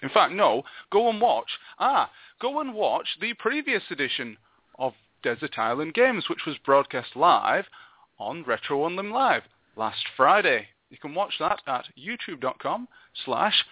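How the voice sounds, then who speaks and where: A man's voice: medium pitch, dry recording. A man co-hosting a gaming show in casual podcast chat, bantering and jokey, over a thin phone-like connection. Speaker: co-host interviewing a guest on a gaming show. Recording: thin phone-like internet radio sound.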